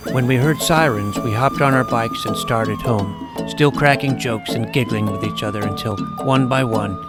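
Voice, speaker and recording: A man's voice: medium pitch, moderate pace, loud music behind the voice. Wry male audiobook narrator. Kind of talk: first-person scripted narration.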